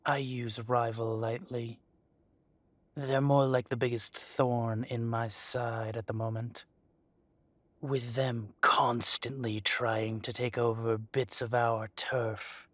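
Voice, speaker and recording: A man playing an arrogant dragon mafia boss in an audio roleplay, speaking deliberately with mock politeness and quiet threat. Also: medium pitch, level tone, no room sound. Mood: coolly menacing. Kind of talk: scripted roleplay audio drama monologue.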